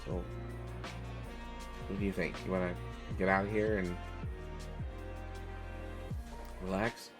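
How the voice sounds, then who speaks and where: Man acting hesitant American guy, flat low-key delivery. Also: over music, lightly acted. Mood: shy, coaxing. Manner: hesitant, halting, with trailing pauses and self-corrections. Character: awkward, kindly man chatting up a stranger at an airport. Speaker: audio roleplay performer. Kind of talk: intimate one-sided audio roleplay, casual conversational acting.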